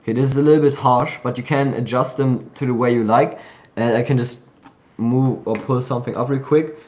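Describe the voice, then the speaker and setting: A man hosting a live community call, chatting loosely and thinking aloud as he shows software on his screen. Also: mid-range voice, steady tone, brisk, highs cut off. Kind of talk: casual screen-share tutorial.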